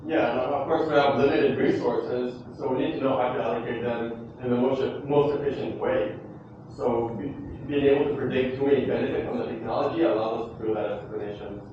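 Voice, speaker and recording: A man presenting at a conference in a large hall, heard from some distance through a dull, muffled mic. He talks haltingly, pausing often as he searches for words. The space is very echoey and carries steady background noise. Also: medium pitch. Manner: hesitant, many fillers and restarts. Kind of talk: conference closing remarks.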